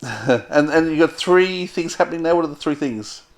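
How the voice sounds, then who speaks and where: A man's voice: on the high side, animated, normal speed. A man casually hosting a podcast interview and putting questions to a guest.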